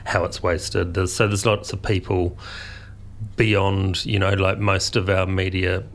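A man's voice: deep voice, little pitch movement, a little slow. A man, a guest in a radio interview, talking in a rambling, thoughtful way with lots of restarts. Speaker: guest, food photographer and publisher.

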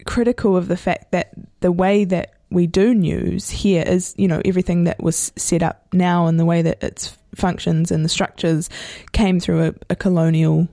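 A woman's voice: on the low side, steady tone, moderate pace, few pauses, warm tone, dry recording, clean studio sound. A woman from New Zealand with a New Zealand accent, interviewing in a casual, reflective way, rambling with many restarts and hedges.

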